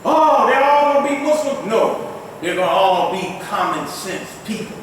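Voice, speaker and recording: Older imam preaching in an echoing hall. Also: male voice, big pitch swings, moderate pace. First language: not Arabic. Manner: emphatic preaching with rising exclamations. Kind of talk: Friday sermon. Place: large mosque prayer hall. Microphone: distant mic in a mosque. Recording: echoey hall, far from the mic, faint rumble.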